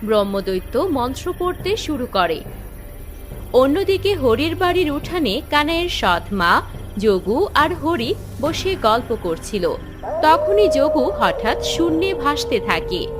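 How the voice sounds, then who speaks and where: A woman narrates a scripted children's fairy tale in a high voice. Her pitch moves in an animated way, with music clearly playing underneath. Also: a little slow. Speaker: story narrator.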